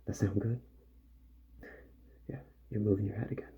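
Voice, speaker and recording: A man doing a soft-spoken ASMR roleplay as a calm, methodical repair doctor, musing gently to himself and often drifting into a whisper. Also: lowish voice, normal speed, breathy edge. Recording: muffled audio with faint background noise.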